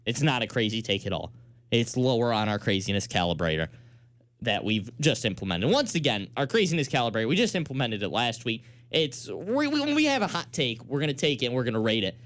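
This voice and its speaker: Animated American man hosting sports talk. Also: mid-range voice, brisk. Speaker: sports radio talk show host.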